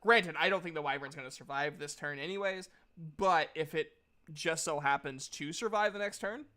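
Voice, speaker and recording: A man's voice: fairly high pitch, normal speed, sharp tone. A man casually narrating a tabletop game as the game master.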